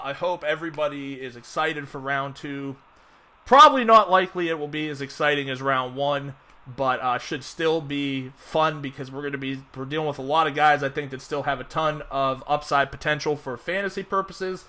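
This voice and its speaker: Man, podcast host, bright tense voice, higher pitch, rambling chat. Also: normal speed. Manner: rambling, many fillers and restarts. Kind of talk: casual sports podcast chat.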